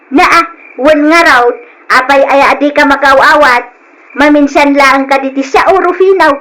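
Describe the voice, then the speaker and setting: A woman's voice: high-pitched, normal speed, sharp tone, low-quality recording. An actress playing a stubborn, demanding older mother-in-law in a radio drama, brash and insistent, with a Filipino accent. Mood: demanding, brazen. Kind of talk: Ilocano radio drama acting.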